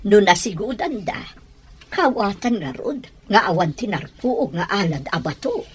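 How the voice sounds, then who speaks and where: An actress playing a proud, vengeful mother in an Ilocano Filipino radio drama, with a husky voice. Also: mid-range voice, sing-song, moderate pace, slight background noise, close to an everyday voice. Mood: solemn, anxious.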